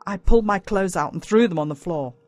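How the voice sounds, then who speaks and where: A woman's voice: mid-range voice, fairly quick, darkish tone, no echo, soft background music. A woman with an Australian accent narrating a children's story in a dramatic, suspenseful way. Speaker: audiobook narrator. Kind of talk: scripted first-person story narration for children.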